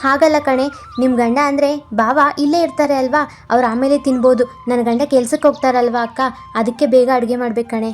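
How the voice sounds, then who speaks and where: A woman voicing a gentle, eager young newlywed wife in a cartoon moral story, with a plain, homely manner. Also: high voice, steady tone, brisk, slight background noise, close to an everyday voice. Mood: coaxing.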